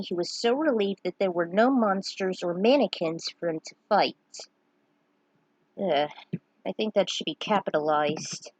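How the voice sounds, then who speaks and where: Casting: woman, mid-pitched, informal home storyteller who breaks off for offhand comments, recorded dry. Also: a little mellow, dry recording, low-quality recording. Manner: informal asides, interrupting own reading to comment. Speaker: narrator reading own fan fiction. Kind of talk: casual recap and amateur story reading.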